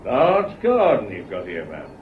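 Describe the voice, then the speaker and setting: An actor playing a middle-aged British police inspector in a radio play, folksy and deferential yet quietly probing. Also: male voice, fairly low pitch, wildly swooping pitch, unhurried, a little hiss or hum. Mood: genial, probing. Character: plodding, polite, persistent police inspector with folksy patter. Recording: muffled old radio drama recording.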